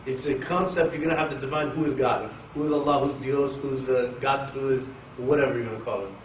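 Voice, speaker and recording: A man's voice: mid-range voice, brisk. Male Quran teacher from Oklahoma, casual and joking, picked up from a distance with room echo and light hiss. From Oklahoma, USA. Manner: jokey, animated, talks to the audience. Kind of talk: informal religious class. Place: mosque hall with an audience. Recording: echoey room, distant mic, faint hiss.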